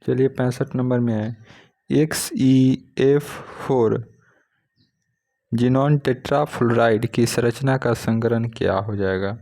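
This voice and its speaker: A man's voice: mid-range voice, fairly even intonation, slow pace, mellow. Man teaching exam questions methodically. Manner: methodical, reads each question then states the option. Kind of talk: reading practice questions and giving answers.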